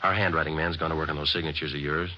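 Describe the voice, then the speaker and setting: An actor playing a terse male police detective sergeant, speaking in a clipped, deadpan, matter-of-fact way in an old radio drama. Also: very deep voice, very fast, full character voice. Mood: stern, clipped. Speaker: detective and narrator. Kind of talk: 1940s-50s radio drama acting. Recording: dull lo-fi old radio recording with faint hiss.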